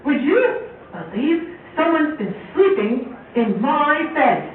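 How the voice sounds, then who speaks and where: A man's voice: extremely high pitch, big pitch swings, slow, full character voice. A man voicing a protective, scolding father bear in a children's puppet show, in an exaggerated manner. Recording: very echoey, tinny, distant, faint noise.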